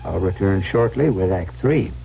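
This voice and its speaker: A man hosting and narrating an old radio mystery in a measured, solemn, ominous storytelling style. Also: deep voice, lively intonation, vocal fry, background noise. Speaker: host and narrator. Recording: muffled vintage radio recording. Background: prominent music.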